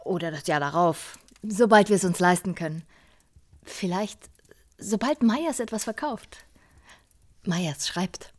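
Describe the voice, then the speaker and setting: An actress playing a polite, loyal wife of a struggling writer in a German radio play. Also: female voice, on the low side, expressive, a little slow, long pauses. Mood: polite, hopeful.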